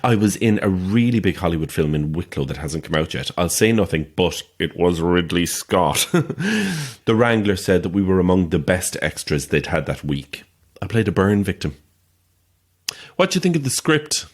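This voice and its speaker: Casting: boastful small-time Irish thespian, low voice with big swoops, chatty and theatrical, audio drama. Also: male voice, big pitch swings, moderate pace, full character voice. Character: chatty, self-important small-time male actor, pleased with himself. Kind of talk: naturalistic audio drama acting.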